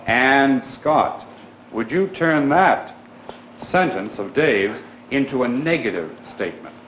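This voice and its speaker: Low-pitched male teacher in an echoey classroom. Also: animated, takes it slowly, fairly bright tone. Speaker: schoolteacher leading a grammar class. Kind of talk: classroom instruction with questions to pupils. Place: indoors in a school classroom. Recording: echoey classroom recording with clear background noise.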